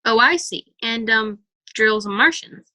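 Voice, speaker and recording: A woman's voice: a little high, measured pace, pushed and bright, no echo, rough recording. A woman playing a patient, amused, indulgent mother in an audio drama. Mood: curious.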